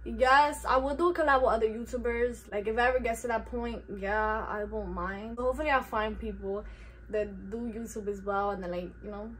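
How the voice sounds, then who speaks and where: A woman's voice: a little high, steady tone, brisk, fairly bright tone, slight background noise, rough recording. Laid-back teen girl with a New York accent. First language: Spanish. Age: teens. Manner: laid-back, slangy, self-teasing. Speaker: YouTuber. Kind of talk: casual Q&A vlog. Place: bedroom, indoors.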